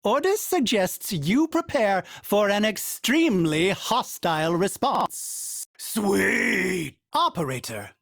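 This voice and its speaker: A prissy polite ship ai in a game, talks about itself in third person, pitch swoops around, dry close sound. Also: male voice, sing-song, slow pace, gravelly, hardly any pauses, fairly bright tone, dry recording, heavily acted. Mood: cautioning. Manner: prim and formal, speaks of itself in third person. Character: fussy, polite male ship AI. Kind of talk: video game voice lines.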